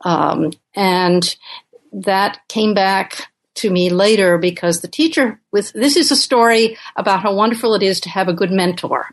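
Thoughtful older woman, halting speech. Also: medium pitch. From Uganda. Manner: thoughtful, hesitant with many fillers. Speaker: author and professor. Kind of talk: podcast interview.

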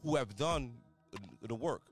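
A man's voice: mid-range voice, animated, a little slow, faint music behind. Earnest man, keeps saying you know what I mean. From United States, Haitian parents. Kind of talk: casual podcast debate. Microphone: told to talk closer to the mic.

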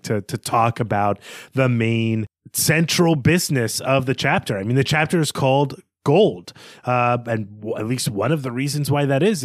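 A man's voice: mid-range voice, dry recording, clean studio sound. A man co-hosting a podcast, explaining and thinking aloud in a conversational literary discussion. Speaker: podcast co-host.

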